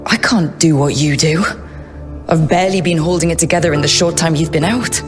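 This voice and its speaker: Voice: medium pitch, fairly even intonation, a little fast, over music, close to an everyday voice. A performer playing a young elven scout who talks plainly and directly and asks blunt questions, in a video game cutscene.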